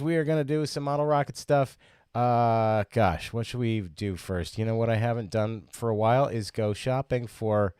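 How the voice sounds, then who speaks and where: A man's voice: medium pitch, a little mellow, no room sound, clean studio sound. A sniffly man hosting a casual livestream, rambling and chatty with lots of fillers and restarts. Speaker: livestream host.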